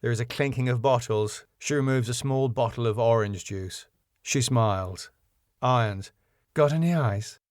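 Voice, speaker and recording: A man's voice: mid-range voice, steady tone, moderate pace, clear, resonant tone, dry recording, clean studio sound. A middle-aged man with a British accent, narrating and chatting as a jaunty, self-deprecating podcast host. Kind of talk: scripted story narration and chatty podcast outro.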